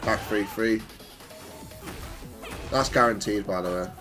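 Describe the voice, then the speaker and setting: A man's voice: on the low side, slow, over music. A man giving off-the-cuff commentary on his own fighting game replays, explaining things in a hesitant, stop-start way. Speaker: gamer analysing own matches.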